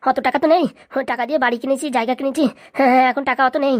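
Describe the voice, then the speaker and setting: A woman's voice: high voice, steady tone, rapid-fire, lightly acted. A woman voicing a distraught male villager in a comedy cartoon, whining and pleading deferentially. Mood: anxious pleading.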